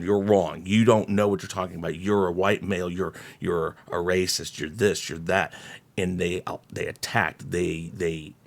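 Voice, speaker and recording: A man hosting casual reaction commentary, speaking in a measured way with pauses and throat clearing. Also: sits low, a bit bright. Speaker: host reacting to a video.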